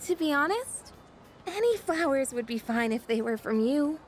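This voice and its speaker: A woman voicing a friendly, earnest, slightly shy teenage schoolgirl in a video game, soft-hearted and reflective. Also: high voice, very animated, moderate pace. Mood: cheerful, playful. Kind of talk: English video game voice acting. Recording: background music under the voice.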